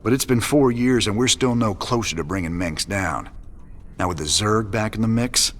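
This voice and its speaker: A man's voice: lowish voice, moderate pace, a bit bright, a little hiss or hum, natural voice. A man playing a weary, wry frontier rebel commander in a video game, with a folksy, dryly sarcastic way of talking. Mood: weary, resolute. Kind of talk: video game cutscene dialogue.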